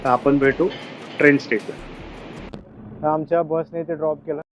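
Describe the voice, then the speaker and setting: Slightly high-pitched, even-toned man vlogging. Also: normal speed, soft background music. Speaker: travel vlogger. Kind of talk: casual vlog chat. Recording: dull lo-fi camera audio.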